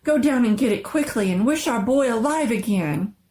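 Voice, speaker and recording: A woman's voice: a little high, expressive, normal speed, a little mellow, pitched above the performer's own voice, natural voice. A woman voicing a grieving, desperate old wife in a dramatic reading of a scary story. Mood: hysterical, triumphant.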